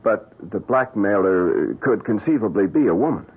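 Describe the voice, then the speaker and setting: A muffled old-time radio recording carries a greedy, slick hustler. He has a low voice that swoops widely, selling his scheme glibly between small hesitations. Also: animated, moderate pace, slightly rough. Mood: smooth, persuasive. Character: glib, greedy, scheming man running a crime-prevention agency.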